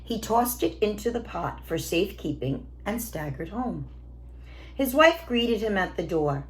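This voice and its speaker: A woman reading a children's storybook aloud as a teacher, in an expressive narrating style. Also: mid-range voice, measured pace.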